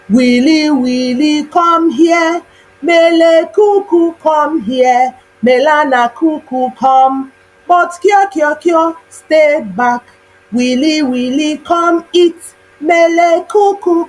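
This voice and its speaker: A storybook parent played by a woman chants a coaxing call to the children. The voice is very high and sing-song, with slow, drawn-out repeated phrases. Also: poor audio.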